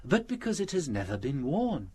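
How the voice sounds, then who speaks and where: A gentle, shrewd priest sleuth in a British radio mystery. His pitch moves freely as he explains things politely and a little hesitantly, over faint background noise. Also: huge pitch swings, normal speed. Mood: patient explaining. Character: gentle, shrewd, mild-mannered priest amateur detective. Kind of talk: British radio drama acting.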